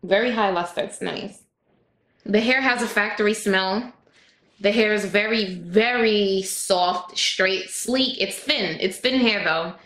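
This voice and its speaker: A woman with a raspy voice, chatting casually to her viewers as she reviews hair and walks through a tutorial. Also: mid-range voice, slow, fairly bright tone. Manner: chatty, addresses viewers directly. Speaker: hair vlogger.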